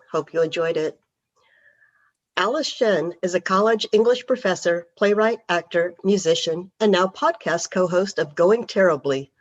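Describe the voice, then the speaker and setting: A woman host reading a brisk introduction of a playwright and cast. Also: medium pitch, dull audio. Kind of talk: scripted introduction.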